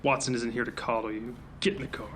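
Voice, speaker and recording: An actor playing a stern, cynical field agent in command, speaking curtly in an audio drama. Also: male voice, medium pitch, level tone, quick pace, background noise, lightly acted. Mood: irritated, cynical. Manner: curt, commanding.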